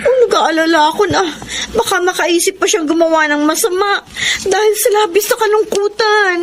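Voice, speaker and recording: A woman's voice: very high-pitched, fairly quick. A woman playing a worried, loyal friend in a Filipino radio drama, anxious and earnest. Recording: faint background noise.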